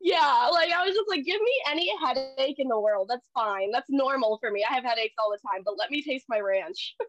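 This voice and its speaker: A woman's voice: high-pitched, a little fast, no room sound. A woman in her twenties, a podcast guest, telling stories in casual chat with many "like" fillers, a little stuffy from a cold. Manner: storytelling, animated, many "like" fillers. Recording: dull, dry lo-fi remote audio.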